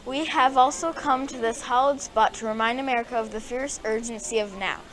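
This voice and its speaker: A woman's voice: fairly high pitch, fairly quick, fairly bright tone, low-quality recording. A female student reading a formal speech aloud. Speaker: student reader.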